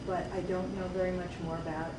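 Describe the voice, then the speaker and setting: A woman's voice: fairly low pitch, level tone, fast talker. A woman musician in the audience speaking in a hesitant, thoughtful way. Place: bookstore event room. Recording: echoey room, distant mic, background noise.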